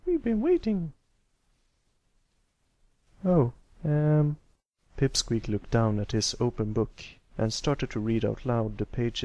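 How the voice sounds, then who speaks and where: A man's voice: on the low side, creaky, darkish tone, no room sound. A man reading scripted story narration as an amateur narrator. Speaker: amateur fan fiction narrator.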